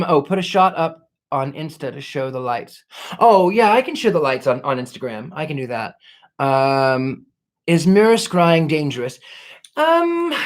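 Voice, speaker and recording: A man hosting a casual livestream, answering viewer questions in a courtly, gracious way, thanking people warmly and pausing to restart his sentences as he searches for words. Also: on the high side. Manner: reflective, many restarts while searching for words. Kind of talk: casual live Q&A chat.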